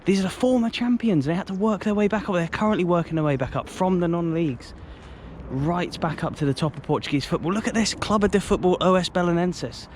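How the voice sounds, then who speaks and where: An English man narrating his football travel vlog to a handheld camera, eager and full of exclamations. His voice sits a little high. Faint outdoor noise runs under the recording. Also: quick pace. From England. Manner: enthusiastic, exclaims often. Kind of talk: excitable walking vlog to camera.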